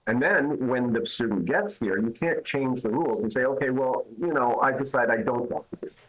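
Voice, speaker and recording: A man with a husky, slightly rough voice makes his case in a news interview. He speaks emphatically, pressing points with rhetorical questions, and his voice sits at an ordinary middle pitch. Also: fairly quick, fairly bright tone, rough recording. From Canada. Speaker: immigration lawyer.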